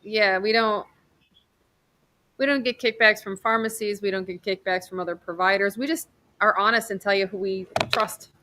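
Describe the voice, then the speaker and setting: A woman physician from New York state, hosting a casual livestream over a video call, chatty and rambling with quick bursts of excitement. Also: medium pitch. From New York state, USA.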